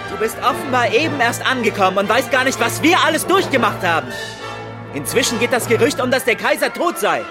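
Very high-voiced, shaken imperial officer. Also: male voice, sing-song, brisk, over music. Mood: shaken, grim. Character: shaken, defeated male imperial courtier-soldier. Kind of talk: German radio play acting.

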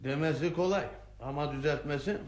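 An actor playing a deferential, haggling younger street junk dealer in a radio play. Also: male voice, a little high, darkish tone, roomy sound, natural voice. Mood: haggling, doubtful. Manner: deferential, drawn-out street calls, hesitant bargaining. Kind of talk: radio theatre acting.

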